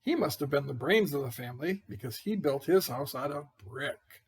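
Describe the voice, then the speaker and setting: A man reading a children's picture book as an aggrieved, folksy wolf, expressive and incredulous. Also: a little high, normal speed, breathy edge, heavily acted. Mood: self-justifying. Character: aggrieved, folksy wolf pleading his innocence.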